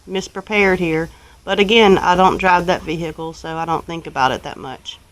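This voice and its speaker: A woman with a Southern US accent telling a story casually, in a folksy, unhurried, colloquial way, as a homestead vlogger. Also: fairly low pitch, fairly even intonation, slight background noise, low-quality recording. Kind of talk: casual first-person storytelling.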